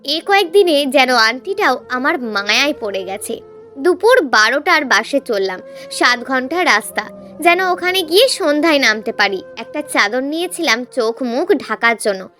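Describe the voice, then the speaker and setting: A woman's voice: high voice, lively intonation, normal speed, pushed and bright, soft background music. A woman reading a scripted, emotional first-person story as a narrator.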